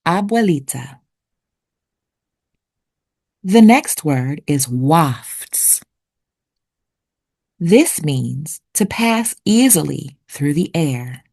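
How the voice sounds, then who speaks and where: A woman narrating for children like a teacher, with deliberate, expressive enunciation. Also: sits low, creaky, breathy edge. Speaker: read-aloud host and narrator. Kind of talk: children's picture book read-aloud with vocabulary teaching.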